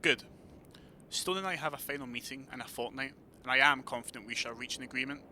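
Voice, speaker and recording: A man's voice: mid-range voice, quick pace, sharp tone, slight background noise. A man playing an authoritative vampire prince in an audio drama, formal, courteous and imperious. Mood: businesslike, composed.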